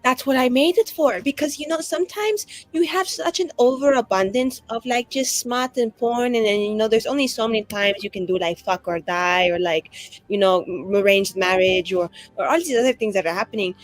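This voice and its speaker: A woman's voice: fairly high pitch, fairly quick, lightly acted. Bawdy, self-assured lady novelist played by a woman. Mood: breezy, teasing. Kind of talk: improvised tabletop roleplay.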